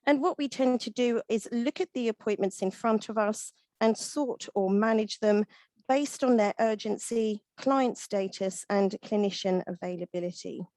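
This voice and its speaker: A husky-voiced woman in her thirties, leading an online webinar. Her tone is mellow and soft-edged, and the sound is close and dry. She explains at an even pace and runs through lists with the odd "um". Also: medium pitch, no room sound, poor audio. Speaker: webinar presenter and veterinary nurse. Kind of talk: presenting a product webinar.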